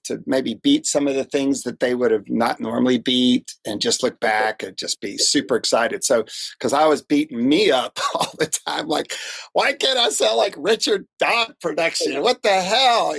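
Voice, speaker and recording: A man's voice: on the high side, vocal fry, a bit bright, slightly rough, dry recording. American male producer guest, dry studio-close sound, colloquial, enthusiastic, humble about himself. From United States. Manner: self-deprecating, enthusiastic, colloquial. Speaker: producer and engineer, interview guest. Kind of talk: casual chat.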